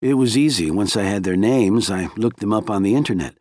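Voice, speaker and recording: A man's voice: lowish voice, a little fast, darkish tone, dry recording, clean studio sound, lightly acted. A man voicing a capable, polite woman researcher in an audiobook, with a plain, self-assured manner. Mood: modest, matter-of-fact.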